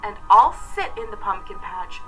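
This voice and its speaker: A woman voicing an earnest, trusting, excitable little boy in a storybook read-aloud, full of wide-eyed, sincere assurance. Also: mid-range voice, moderate pace, faint music behind, low-quality recording. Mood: hopeful.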